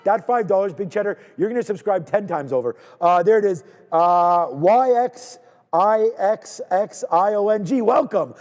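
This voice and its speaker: A man from Canada hosting a live trading stream, talking in energetic, rambling casual banter, repeating words and shouting out viewers. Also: sits high, normal speed, in a large hall.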